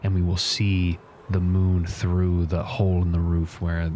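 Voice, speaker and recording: A solemn elven warlord as a man plays him in a tabletop fantasy game. The voice is deep and slow, with measured, commanding gravity. There is light noise on the recording. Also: completely flat delivery, mellow. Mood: solemn, resolute. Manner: slow, grave, measured proclamations. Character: solemn, commanding male dark elf lord addressing warriors.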